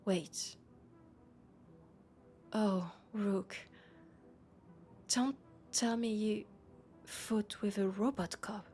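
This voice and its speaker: A woman with a French accent playing a worried, exasperated female police colleague and roommate in an intimate sci-fi audio roleplay, speaking softly and hesitantly. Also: mid-range voice, drawn-out words, pauses often. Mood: exasperated, disbelieving.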